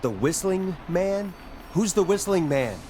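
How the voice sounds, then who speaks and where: An actor voicing a concerned, hesitant radio host in a horror video game, earnest and questioning. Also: male voice, high-pitched, lively intonation, measured pace, background noise. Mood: confused, alarmed. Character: uneasy, concerned male late-night radio host.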